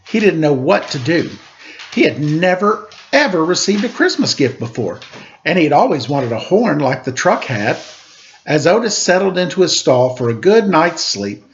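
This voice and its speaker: A man reading a children's story aloud with an expressive, playful delivery, as a storyteller at home. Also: on the high side. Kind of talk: storybook read-aloud with casual asides. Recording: dull home recording with faint noise. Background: faint household background noise.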